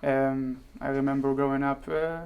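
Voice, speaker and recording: A man from Israel, a guest on a talk show, explaining his background in a measured way with frequent "right?" asides. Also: mid-range voice, level tone, clean tone, highs cut off. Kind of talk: casual interview chat. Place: television studio.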